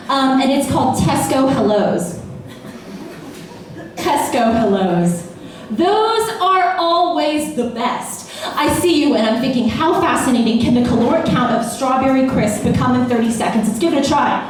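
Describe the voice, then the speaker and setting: A young American woman from Texas performing her own spoken word poems at an open mic, playful and wry. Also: slight background noise. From Austin, Texas, USA. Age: young adult. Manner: playful, wry, with sudden pitch jumps and laughs. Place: small bar. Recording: echoey bar room, voice from far off.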